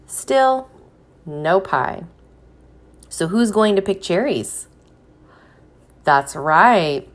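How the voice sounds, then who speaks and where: A woman reading a picture book aloud like a teacher, pausing to put questions to young listeners and encourage them. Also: medium pitch, sing-song. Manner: slow, deliberate, encouraging prompts.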